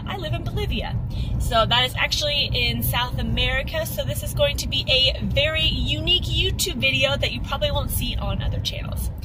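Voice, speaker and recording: A woman's voice: high voice, background noise. A young woman chatting upbeat to her viewers as a fitness vlogger, filming herself inside a car. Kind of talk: casual vlog to camera.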